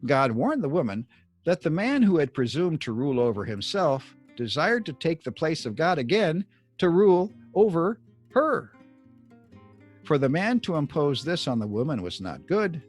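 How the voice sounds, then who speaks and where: A man reading scripted Bible teaching like an audiobook author, deliberate and emphatic, with measured pauses. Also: fairly high pitch, big pitch swings, soft background music. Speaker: author reading own book excerpt.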